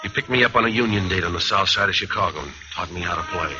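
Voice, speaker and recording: A man acting a world-weary hardboiled jazz cornetist in a vintage American radio noir, laconic, wry and deadpan. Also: sits low, fast, lightly acted. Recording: muffled old radio recording, music behind.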